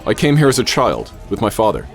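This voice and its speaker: An adventurous professor narrating a radio play. His low voice moves up and down with theatrical flair, and music runs behind it. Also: wildly swooping pitch. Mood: reflective. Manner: measured, literary, theatrical storytelling. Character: adventurous, wary gentleman professor recounting his exploits. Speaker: narrator and lead character. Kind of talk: radio theater, dramatic first-person narration.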